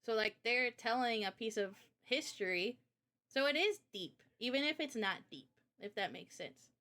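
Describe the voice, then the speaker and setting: Higher-pitched woman chatting and explaining. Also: expressive, normal speed, pushed and bright. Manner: opinionated, informal explaining with frequent "like". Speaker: streamer and game developer. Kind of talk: casual livestream chat, explaining art ideas.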